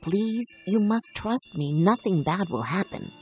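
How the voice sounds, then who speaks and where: A woman's voice: mid-range voice, animated, measured pace, darkish tone, soft background music, close to an everyday voice. A woman voicing a cunning, polite male cat servant in a children's storybook, speaking in an earnest, persuasive, courtly manner. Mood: coaxing.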